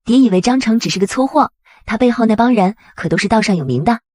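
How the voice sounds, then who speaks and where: A woman's voice: a little high, expressive, fast, a little mellow, dry recording, clean studio sound. A woman playing a shrewd, bitter and resolute businesswoman in an audio comic drama. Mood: knowing.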